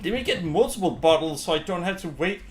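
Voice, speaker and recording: A man doing casual let's-play commentary as a gaming streamer, rambling and thinking out loud. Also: sits high, animated, fast talker. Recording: game music behind the voice. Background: video game music.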